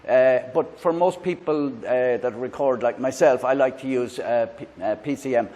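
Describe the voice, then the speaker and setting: Halting Irish man reviewing a gadget. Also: mid-range voice, dark tone. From Ireland. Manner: halting, many filler sounds and restarts. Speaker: tech reviewer, channel host. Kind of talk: casual product review and demo. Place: indoors in a room with a fan, then outdoors by a busy road. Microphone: lavalier.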